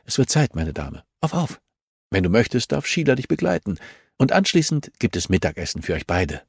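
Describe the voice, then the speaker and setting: A man's voice: medium pitch, sing-song, brisk, gravelly, breathy edge, no room sound, pitched above the performer's own voice, heavily acted. Guy reading as a businesslike woman detective, wry, insistent, close dry audiobook sound. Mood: brisk, kindly. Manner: matter-of-fact, politely insistent. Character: composed, businesslike female investigator, firm and wry.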